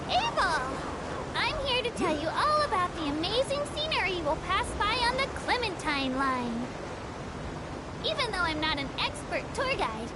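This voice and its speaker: A woman's voice: extremely high pitch, heavy background noise. A woman voicing a cheerful, eager small creature working as a polite tour guide in a video game, with a bubbly, presentational delivery. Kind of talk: scripted video game character dialogue.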